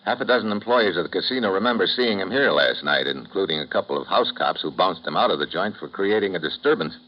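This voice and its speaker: An actor playing a tough, wry American secret agent in a 1950s radio drama, terse and slangy in delivery. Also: male voice, sits low, animated, fast. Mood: brisk, businesslike. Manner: terse, hard-boiled, slangy. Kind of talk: 1950s radio drama acting and first-person narration. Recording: muffled, noisy vintage radio recording.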